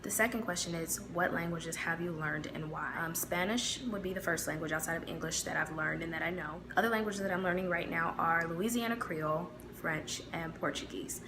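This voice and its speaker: Midwest american woman vlogging, lowish voice, relaxed and chatty, noisy recording. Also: a little fast, few pauses. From United States. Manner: relaxed, chatty, many fillers. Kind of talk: casual talk to camera.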